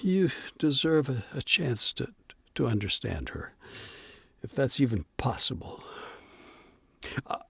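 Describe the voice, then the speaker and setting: An actor playing a devoted, exasperated younger brother who works at the family mill, speaking earnestly with a halting stammer in an audio drama. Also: male voice, medium pitch, big pitch swings, creaky, fairly bright tone, slightly rough, no echo, exaggerated voice. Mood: confiding, wistful. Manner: halting, earnest, repeating words.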